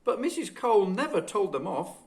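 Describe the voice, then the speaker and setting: A man reading a children's story expressively and dramatically, with deliberate phrasing. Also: sits high, big pitch swings. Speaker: guest storybook reader.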